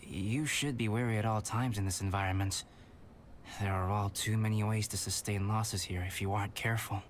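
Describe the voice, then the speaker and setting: A man voicing a calm, aloof, scholarly young artist in an anime video game, speaking in a measured, polite, articulate way. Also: fairly low pitch, slight background noise, natural voice. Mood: coolly polite. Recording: game dialogue over background music.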